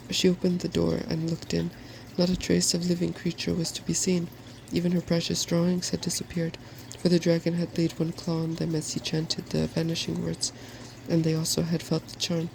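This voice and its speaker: A soft-spoken storyteller reads a fairy tale in a gentle ASMR manner. The voice is full of fry and stays very even in pitch. A faint noise sits behind it. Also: poor audio. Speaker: narrator reading fairy tales.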